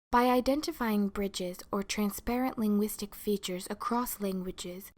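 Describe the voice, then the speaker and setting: Woman narrating a scripted lesson, measured delivery. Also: medium pitch, a little mellow. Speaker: lecturer, narrator of an educational video.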